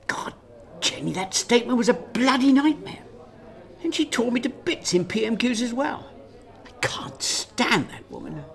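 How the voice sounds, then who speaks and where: A male actor plays an exasperated in a radio play. The delivery is blunt and weary, with very lively intonation. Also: very high voice, normal speed, gravelly, full character voice. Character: exasperated, sharp-tongued British Prime Minister under pressure. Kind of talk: radio drama acting.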